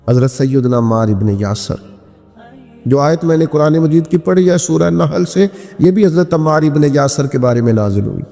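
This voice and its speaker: A man's voice: on the high side, a little mellow. A man delivering an impassioned Islamic sermon as a preacher, with emphatic repetition, rhetorical questions and recited verse. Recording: long hall reverb with music behind.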